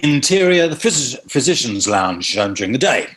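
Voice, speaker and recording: A man's voice: mid-range voice, big pitch swings, normal speed, fairly bright tone. A man reading out screenplay stage directions as scripted narration.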